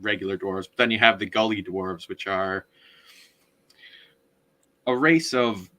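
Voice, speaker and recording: A man recapping a story in a casual book discussion, often halting as he searches for words. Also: lowish voice, pushed and bright. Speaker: podcast co-host.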